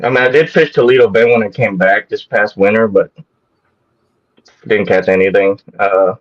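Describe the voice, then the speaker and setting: A man's voice: fairly low pitch, moderate pace. A man originally from Vietnam, a guest on a live show, telling a casual story in a rambling, hesitant way with many restarts. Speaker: guest kayak angler. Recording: muffled lo-fi audio.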